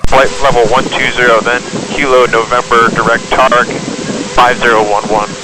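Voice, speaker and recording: Heavy noise, with rain and wind, covers a cockpit radio transmission from a male military pilot. His voice is mid-pitched. He speaks in terse, procedural calls and readbacks. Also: little pitch movement, normal speed.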